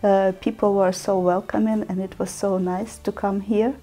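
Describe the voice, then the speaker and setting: Mellow-voiced woman learner. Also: medium pitch, flat delivery, moderate pace, low-quality recording.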